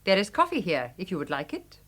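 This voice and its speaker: An actress in a radio drama playing an elegant, teasing accomplice of a villain, formal and polite as a hostess. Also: female voice, on the low side, huge pitch swings, fast, fairly bright tone, exaggerated voice. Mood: cool, solicitous. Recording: faintly noisy radio drama recording.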